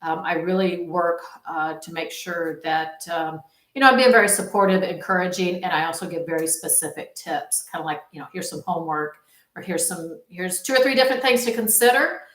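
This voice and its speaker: A woman's voice: fairly low pitch, a little fast, a bit bright. A woman giving a casual, rambling promotional talk as a supportive phone marketing coach, speaking informally. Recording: roomy, echoing sound.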